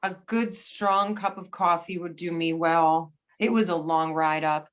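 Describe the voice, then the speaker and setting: A woman's voice: lowish voice, fairly even intonation, unhurried, lightly acted. A woman playing a polite but probing federal agent in an amateur readers theater reading. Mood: casual.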